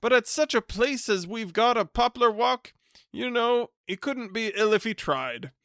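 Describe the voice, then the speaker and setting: A man voicing a loud, hearty middle-aged visitor who repeats himself. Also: extremely high pitch, animated, unhurried, dry recording, studio quality, voice pushed up, exaggerated voice. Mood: boisterous.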